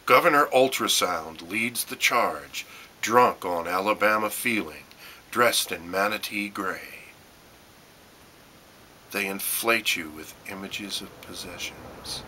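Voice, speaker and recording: Male spoken-word poet, low-pitched and hard-edged, measured phrases with pointed stresses, slightly noisy recording. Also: pushed and bright. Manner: deliberate, short phrases with pauses and emphatic stresses. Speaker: poet reading own work. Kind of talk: dramatic spoken-word poetry reading.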